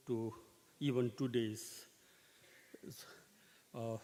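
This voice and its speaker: A male professor opens his conference address with formal greetings to the dignitaries. He speaks very slowly and deliberately. His words carry a long reverb through a large hall. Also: medium pitch, darkish tone. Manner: slow, deliberate, opens with chanted salutation.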